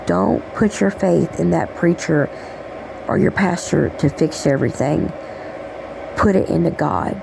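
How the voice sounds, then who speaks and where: Gravelly raspy woman channeling spirit messages. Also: deep voice, animated, unhurried, background noise. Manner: rambling, affectionate, repeats "okay?" and "um". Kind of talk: casual spiritual message monologue.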